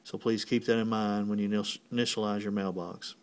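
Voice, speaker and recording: A man's voice: fairly low pitch, flat delivery, a little fast. A man giving a step-by-step tutorial as a technician, explaining plainly and methodically. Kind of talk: instructional step-by-step walkthrough.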